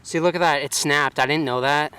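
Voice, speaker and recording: A man's voice: fairly high pitch, steady tone, fairly quick, sharp tone, slight background noise. A man casually vlogging outdoors in a home garden, filming himself with a handheld camera and rambling with lots of fillers. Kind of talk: casual self-filmed vlog. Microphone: handheld self-filming camera.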